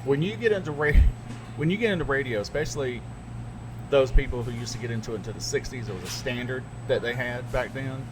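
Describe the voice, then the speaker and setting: A man's voice: mid-range voice, expressive, quick pace, background noise. A middle-aged man, a radio DJ, co-hosting a podcast and telling stories in rambling casual chat. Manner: rambling, laughs at his own stories.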